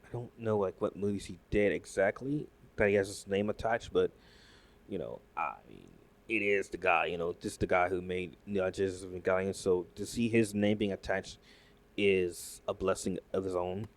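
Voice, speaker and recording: A man reacting to news in casual commentary, excitable and rambling, full of fillers and restarts. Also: sits low, normal speed. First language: not Japanese. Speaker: YouTube commentator.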